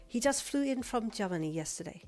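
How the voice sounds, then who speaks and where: A woman voicing an affectionate mother speaking on the phone, slow and longing. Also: on the high side, expressive, a little mellow, lightly acted. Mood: cheerful.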